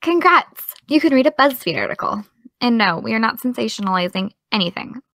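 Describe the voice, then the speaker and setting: A woman plays a defensive young woman in an audio drama, her voice fairly high and bright with lively swings in pitch. She is exasperated and snaps back with dry comebacks. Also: a little fast, no room sound. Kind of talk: audio drama dialogue of a video call.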